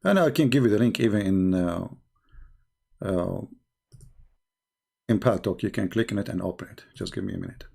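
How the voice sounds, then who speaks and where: A man with an Arabic accent hosting a live-stream debate, insistent, repeating his questions in halting English. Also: fairly low pitch, vocal fry, dark tone. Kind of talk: heated call-in debate.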